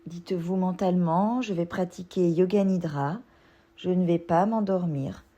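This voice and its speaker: A woman yoga instructor leading a guided relaxation meditation, giving gentle, soothing instructions. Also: lowish voice, moderate pace, dull audio.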